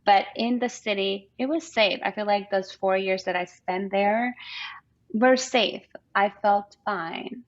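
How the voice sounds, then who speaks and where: Female interview guest, thirties, lowish gravelly voice, conversational personal storytelling, slightly muffled lo-fi recording. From Afghanistan and Pakistan. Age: 30s.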